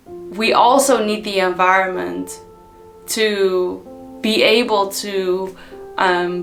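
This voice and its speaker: A woman from the Netherlands, giving an unhurried, reflective motivational talk to her viewers with frequent ums. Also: mid-range voice, a bit bright. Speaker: personal development vlogger.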